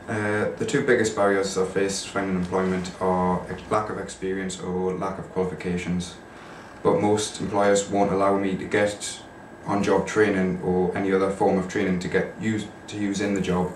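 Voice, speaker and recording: A teenage man of nineteen speaks very deep and flat, hardly moving his pitch. He sounds weary as he complains. The room echoes and the mic is distant. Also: moderate pace, a little hiss or hum. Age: teens. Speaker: interviewee. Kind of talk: casual interview answers.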